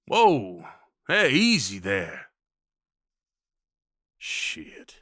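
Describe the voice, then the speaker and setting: A man's voice: fairly low pitch, pushed and bright, no room sound, studio quality, exaggerated voice. A man playing a rugged, kindly cowboy of the Old West in a roleplay audio drama, with a folksy, reassuring drawl. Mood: soothing.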